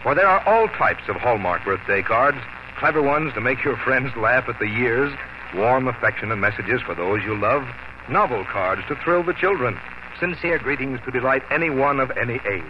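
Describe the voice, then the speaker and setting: A man's voice: mid-range voice, lively intonation, moderate pace, background noise. A man announcing on 1950s radio, reading scripted advertising and credits with polished emphasis. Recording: muffled 1950 radio broadcast with music.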